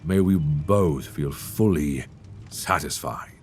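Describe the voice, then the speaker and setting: A man playing a suave, sadistic vampire lord in an intimate roleplay audio, courtly and teasing with quiet menace, savoring his words. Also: very deep voice, slow, soft background music. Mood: menacing, teasing. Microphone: close binaural microphone. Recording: close binaural mic, clear background noise.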